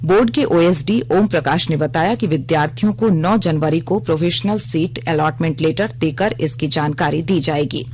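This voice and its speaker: A woman reading a scripted radio news bulletin in a formal, measured manner. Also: low-pitched, darkish tone, a little hiss or hum.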